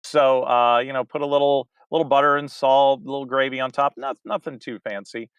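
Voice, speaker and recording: A middle-aged man with an average-pitched voice talks casually as an interview guest. He is easygoing with a dry sense of humor. The recording is close, with very little room sound. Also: level tone, brisk, a bit bright, clean tone, no echo, highs cut off. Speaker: interview guest, local theatre director. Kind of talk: casual chat.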